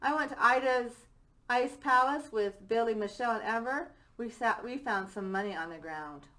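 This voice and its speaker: Woman reading as an eager, bright-voiced young boy. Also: on the high side, moderate pace. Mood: excited. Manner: exclaiming, keen. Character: eager young boy excited about found money.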